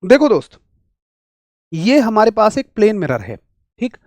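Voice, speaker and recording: High-voiced guy teaching online, rural hindi accent, sore throat, dry close mic. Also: measured pace, dry recording. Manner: energetic, chatty, talks straight to students. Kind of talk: online physics class.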